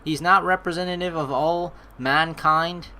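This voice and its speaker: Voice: mid-range voice, unhurried. A game streamer reading story dialogue aloud and throwing in joking, sarcastic reactions. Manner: animated, joking, sarcastic asides. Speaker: let's play streamer. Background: game music.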